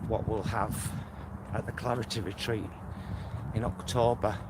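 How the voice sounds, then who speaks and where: Low-voiced Manchester man vlogging amid street noise. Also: level tone, a little slow. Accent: Greater Manchester. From England. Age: middle-aged. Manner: chatty, rambling, campy endearments. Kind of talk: casual chat to camera while walking. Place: outdoors, walking along a suburban road. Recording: loud outdoor street and traffic noise.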